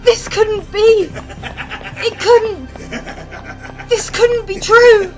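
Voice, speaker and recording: An actress playing a shaken but defiant young alchemist and vampire hunter, dramatic and distraught, in an audio drama. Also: female voice, very high-pitched, drawn-out words, faint music behind, full character voice, poor audio. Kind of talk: fan audio drama acting.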